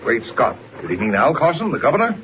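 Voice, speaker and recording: An actor playing a blustery, excitable newspaper editor, brusque and exclamatory, in a 1940s radio serial. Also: male voice, mid-range voice, fast talker, noisy background, natural voice. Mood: disbelieving. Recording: muffled old 1940s radio recording, crowd-like background.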